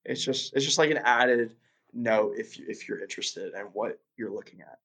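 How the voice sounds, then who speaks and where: A man's voice: lowish voice, normal speed, fairly bright tone. A young man from Russia, a guest chatting casually in an interview, rambling with lots of fillers and restarts.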